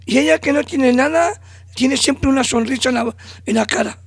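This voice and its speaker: A high-pitched woman with a husky, gravelly voice prompts her guest. She is recorded dry and close, with no room sound. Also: moderate pace, no room sound. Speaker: interviewer. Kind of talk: casual conversation.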